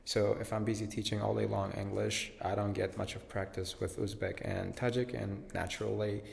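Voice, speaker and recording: Young male podcast guest, low gravelly voice, blunt and self-assured, reverberant hall. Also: little pitch movement, normal speed. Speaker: podcast guest, English teacher. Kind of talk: casual interview conversation.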